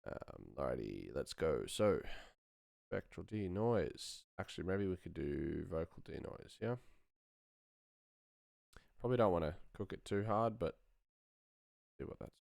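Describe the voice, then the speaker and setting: Low-voiced man chatting on a live stream. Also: very animated, unhurried, creaky, pauses often, a little mellow, dry recording, studio quality. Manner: rambling, thinking aloud while working. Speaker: sound designer hosting a live stream. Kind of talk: casual live-stream chat with tutorial.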